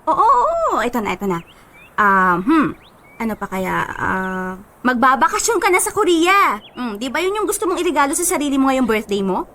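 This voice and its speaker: Lively, teasing best friend. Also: female voice, high-pitched, moderate pace, full character voice. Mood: teasing, curious. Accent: Filipino. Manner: animated, chatty, teasing. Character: teasing, supportive young best friend of the bride. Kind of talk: radio drama acting.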